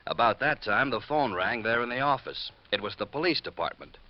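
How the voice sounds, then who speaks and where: A man's voice: medium pitch, expressive, fairly quick, close to an everyday voice. An actor playing a blunt, harried insurance man with an American accent, talking colloquially and urgently in 1950s radio drama style. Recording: muffled vintage radio recording.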